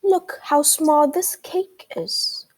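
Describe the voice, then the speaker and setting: A woman's voice: high voice, extremely slow, darkish tone, pitched above the performer's own voice. A woman voicing a confident, inquisitive male duck detective in a children's storybook. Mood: inquisitive, triumphant. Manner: inquisitive, explanatory, exclaiming.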